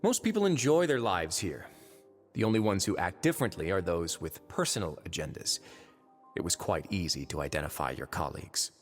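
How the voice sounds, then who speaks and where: An actor playing a calculating fortress lord in a video game, outwardly courteous and wry with quiet menace, speaking in measured, polite phrases. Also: male voice, deep voice, sing-song. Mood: smooth, composed. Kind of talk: scripted game voice acting. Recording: long reverb, music underneath.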